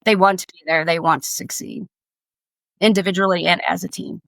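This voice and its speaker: A woman's voice: fairly low pitch, steady tone, fairly quick, gravelly, a bit bright, no echo. A middle-aged woman speaking as an instructor, explaining things casually in an interview. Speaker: air traffic control instructor.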